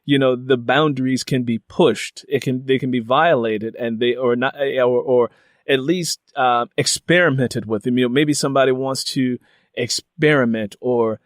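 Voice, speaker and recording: A man's voice: medium pitch, moderate pace, no echo, studio quality. A man hosting a casual interview, telling personal stories in a meandering way peppered with "you know". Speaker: interviewer and host.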